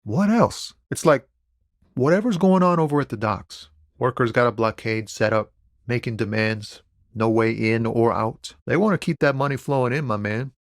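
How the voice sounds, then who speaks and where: An actor playing a laid-back, philosophical lorry driver and amateur poet in a video game, talking in a wry, easygoing, slangy way. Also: male voice, mid-range voice, moderate pace, dry recording, studio quality, lightly acted. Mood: casual, guarded. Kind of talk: video game character dialogue.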